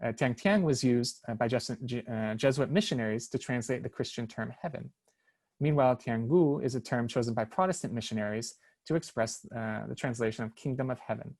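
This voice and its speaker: A man's voice: mid-range voice, a little fast, darkish tone. A man presenting a prepared research paper at an online seminar, reading carefully with small hesitations over foreign names. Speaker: academic lecturer. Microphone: online seminar, computer mic.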